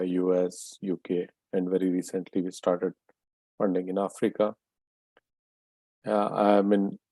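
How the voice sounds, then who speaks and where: A man with a very low, gravelly voice speaks in a flat, even tone. His delivery is steady and measured, broken by small "uh" pauses, as he introduces himself as an investor judging startup pitches. The sound is close and dry, with a dark, mellow timbre. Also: dry recording, poor audio. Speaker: venture capital partner, judge. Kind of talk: self-introduction at an online pitch event.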